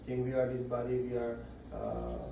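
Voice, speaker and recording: A man's voice: mid-range voice, sing-song, mellow, slight background noise. Man lecturing far off in an echoey room. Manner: halting, searching for words. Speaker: lecturer at a devotional program. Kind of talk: devotional scripture lecture. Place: indoor gathering room.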